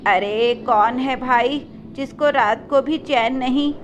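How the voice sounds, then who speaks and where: A woman's voice: high voice, slow, lo-fi sound, slight background noise. A woman voicing a reluctant, grumpy aunt bird in a cartoon, with an excusing manner.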